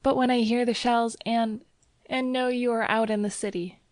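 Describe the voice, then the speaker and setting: Lady reader doing a worried sweet young woman for an audiobook, pleading, pitched up high, dry sound. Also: steady tone, normal speed, darkish tone, dry recording, lightly acted. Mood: anxious pleading. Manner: pleading, nervous. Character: anxious, tender young woman in wartime Paris. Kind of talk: audiobook character dialogue.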